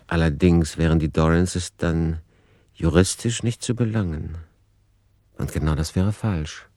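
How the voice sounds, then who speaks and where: An author deliberating over a manuscript in a radio drama. The voice is deep and sits in a dry, close acoustic. The delivery is sober, analytical and essay-like. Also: male voice, steady tone, a little slow, warm tone, no echo. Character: analytical male author reasoning aloud over his novel plot. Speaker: author's working-notes voice in a radio play. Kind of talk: essayistic scripted commentary in a radio play.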